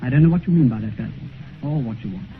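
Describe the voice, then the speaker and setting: Man playing vain young British gentleman, quick-talking, old radio. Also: medium pitch, expressive, close to an everyday voice. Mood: defensive, agitated. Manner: theatrical, earnest and self-absorbed. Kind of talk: 1950s radio drama acting. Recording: muffled 1950s radio recording, faint noise.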